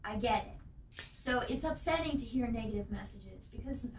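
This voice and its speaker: A woman with a somewhat high voice leads a relaxed interview. She asks thoughtful questions and affirms what her guest says. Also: moderate pace, gravelly, echoey room, far from the mic, a little hiss or hum. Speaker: host. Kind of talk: casual interview talk.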